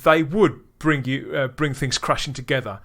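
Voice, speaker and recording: A man's voice: medium pitch, moderate pace, fairly bright tone. A man hosting a podcast, reviewing in casual chat, enthusiastic, with frequent restarts. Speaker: podcast host.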